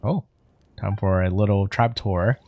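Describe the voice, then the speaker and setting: A man's voice: on the low side, a little slow, over music. A man giving casual let's play commentary as a game streamer, chatty and reacting aloud.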